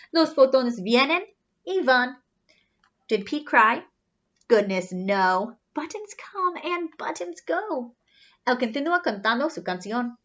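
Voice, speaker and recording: Woman children's storyteller: high, very lively pitch swings, teacherly read-aloud delivery, singsong refrains, slightly muffled recording. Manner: animated, teacherly, spelling things out for young children. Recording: slightly dull, lo-fi sound.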